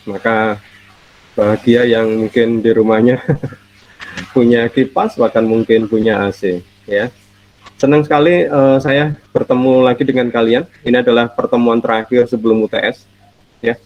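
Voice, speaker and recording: A man's voice: medium pitch, fairly even intonation, no room sound. A middle-aged man gives a friendly, chatty online university lecture from home, his voice nasal and stuffy from a cold. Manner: friendly, chatty, laughs often, drawn-out fillers. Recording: dull lo-fi online call audio.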